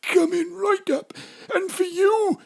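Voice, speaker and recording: A man's voice: very high voice, steady tone, slow pace, gravelly, darkish tone, heavily acted. A man playing an odd, obliging pub landlord and amateur brewer in a comic audio drama.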